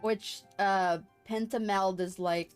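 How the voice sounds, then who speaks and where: A woman's voice: medium pitch, steady tone, slow pace, clear, resonant tone. Giggly woman streaming a game over music. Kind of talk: casual live gameplay commentary.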